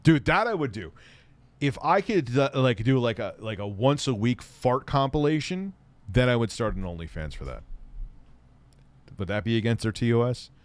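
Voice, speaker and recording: A man's voice: mid-range voice, normal speed, no echo. Profane, rambling male livestream host. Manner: profane, rambling, animated, laughs often. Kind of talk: casual livestream chat reading viewer comments.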